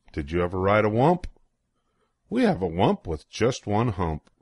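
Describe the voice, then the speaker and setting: An older man reading a children's picture book aloud in an expressive, sing-song way with deliberate pauses. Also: fairly low pitch, breathy edge, no echo, clean studio sound. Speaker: storybook reader.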